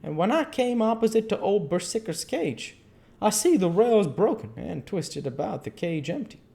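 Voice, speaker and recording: A man's voice: sits high, sing-song, normal speed, gravelly, mellow. A man reading a classic novel aloud as an amateur narrator, stumbling and repeating phrases as he goes. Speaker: amateur audiobook reader.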